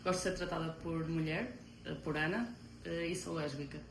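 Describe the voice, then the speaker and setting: Low-voiced Portuguese woman in a roomy space. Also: fairly quick, noisy background. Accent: European Portuguese. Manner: hesitant, with frequent fillers. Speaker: interviewee. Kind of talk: casual interview answers.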